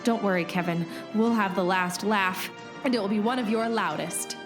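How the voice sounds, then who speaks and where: A woman's voice: mid-range voice, normal speed. A woman acting and narrating in a comic radio play, earnest and theatrical. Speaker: podcast host and narrator. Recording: music bed underneath.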